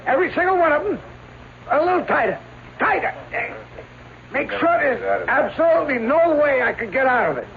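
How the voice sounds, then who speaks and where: A man's voice: very high-pitched, very animated, normal speed, vocal fry, heavily acted. An actor playing an elderly male stage escape artist who talks in grand, theatrical showman patter, in vintage radio drama acting. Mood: coaxing. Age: older. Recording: tinny old radio recording with hiss.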